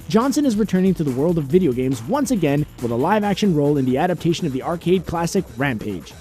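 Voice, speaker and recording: High-pitched man narrating a countdown, lively intonation. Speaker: narrator of a video game and film countdown. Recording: music bed under the voice. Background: background music.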